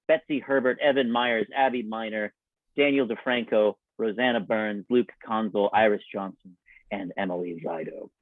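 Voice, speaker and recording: A man's voice: medium pitch, dry recording. Wry male showman on thin, phone-like audio. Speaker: host and announcer. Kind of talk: scripted radio-show introduction with ad-libs. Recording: thin, phone-like band-limited sound.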